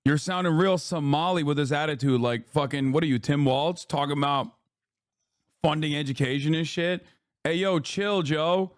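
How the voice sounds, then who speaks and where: Brief: male streamer in his 30s, profane heated commentary, raised pitch, dry close mic. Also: normal speed, clear, resonant tone, no echo. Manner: profane, mocking, shouting in outrage. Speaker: streamer reacting to a podcast clip.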